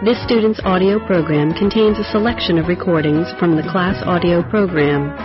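A woman's voice: fairly low pitch, moderate pace. A woman formally reading the credits and contents at the start of an English course audio program. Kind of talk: formal scripted introduction. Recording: lo-fi tape with music behind.

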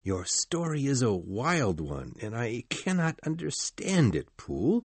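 A woman voicing a calm, skeptical middle-aged male lawyer in a storybook reading, asking measured questions. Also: rumbling low, husky, no room sound, voice pushed down, full character voice. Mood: doubtful, probing.

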